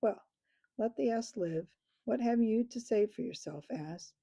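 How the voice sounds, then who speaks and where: A woman voicing a commanding young king from a storybook, stately and formal, with a very dark, mellow voice and a gravelly edge that rumbles under his orders. He speaks in a dry, close sound. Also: lively intonation, a little slow, no room sound, exaggerated voice. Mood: stern. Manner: stately, old-fashioned speech.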